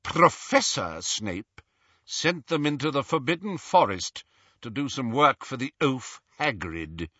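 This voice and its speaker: A man's voice: mid-range voice, very animated, unhurried, sharp tone, husky, no room sound, dull audio, pitched above the performer's own voice, exaggerated voice. A man voicing a haughty old male professor in a talking portrait from a storybook, with a pompous, disdainful and formal manner. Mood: haughty, demanding.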